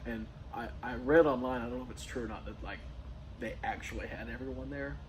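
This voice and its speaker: A man's voice: mid-range voice, fairly even intonation, brisk, slight background noise, rough recording. A man co-hosting a movie review, chatting informally and agreeably as a fan and acting out quoted lines. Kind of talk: casual fan chat about a film.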